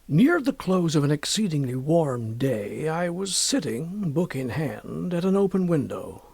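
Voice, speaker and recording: A male audiobook narrator with a husky, fairly high-set voice reads a classic horror tale. His formal, measured delivery swings widely in pitch as he shapes each deliberate phrase. The sound is dramatic and literary. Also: very animated, darkish tone, dry recording.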